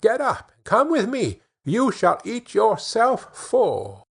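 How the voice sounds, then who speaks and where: Young storybook simpleton, read by a man: kind, eager, cheerful, high and lively in pitch. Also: slow pace, creaky, breathy edge, full character voice.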